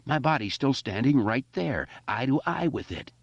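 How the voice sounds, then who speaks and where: Man doing a brave stammery kid, higher and louder, defiant, dry muffled sound. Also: sing-song, a little slow, dry recording. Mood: urging. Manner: raised voice, defiant, slight stammer. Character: brave, defiant young boy facing a monster. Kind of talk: audiobook character voice.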